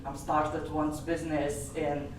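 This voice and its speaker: A woman's voice: deep voice, level tone, slow, a little hiss or hum. A woman with an Eastern European accent, a business advisor speaking directly on a panel in non-native English. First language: an Eastern European language. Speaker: tax strategist and business advisor. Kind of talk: panel discussion. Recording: echoey room from far off.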